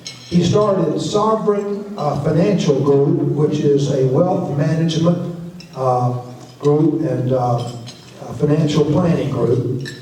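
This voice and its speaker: A man moderating a club lecture series, introducing and questioning a guest in a relaxed, chatty way. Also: a little high, slow pace, slight background noise. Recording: very echoey hall, far from mic.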